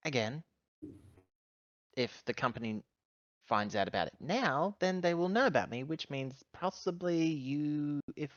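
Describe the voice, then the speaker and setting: Wry, animated player in a dry, dull recording. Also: female voice, deep voice, unhurried, no room sound. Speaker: tabletop roleplaying player. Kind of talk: casual in-character roleplay with banter.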